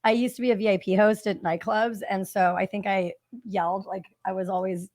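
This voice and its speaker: A woman with a raspy voice, chatting animatedly as a guest on a live stream and laughing often. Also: medium pitch, a little fast, no echo. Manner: animated, laughs often, quotes others mockingly. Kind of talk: casual chat on a stream.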